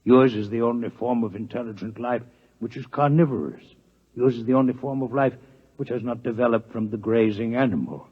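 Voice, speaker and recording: Husky, formal alien doctor played by a man. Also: mid-range voice, close to an everyday voice. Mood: grave, fascinated. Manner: formal, deliberate, precise. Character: courteous alien doctor from another planet, scholarly, grave. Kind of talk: 1950s radio drama acting. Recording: muffled vintage 1950s radio recording.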